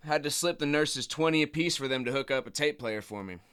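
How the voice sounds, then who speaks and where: A man's voice: fairly high pitch, fairly quick, a bit bright, clean tone, natural voice. A man playing a proud, stubborn injured bull rider with an American accent, guarded and hesitant, in a naturalistic radio drama. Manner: guarded, hesitant, plain-spoken.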